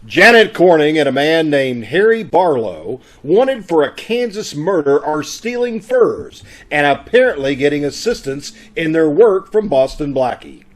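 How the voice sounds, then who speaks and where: High-pitched male radio drama announcer. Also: big pitch swings, measured pace, hardly any pauses. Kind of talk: scripted radio drama narration. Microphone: video call.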